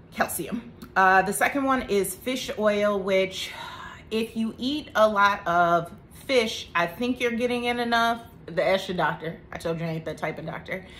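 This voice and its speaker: A woman's voice: medium pitch, fairly bright tone, slight background noise. A woman in her thirties giving a casual health talk to camera, chatty, with joking asides. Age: 30s. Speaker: vlogger.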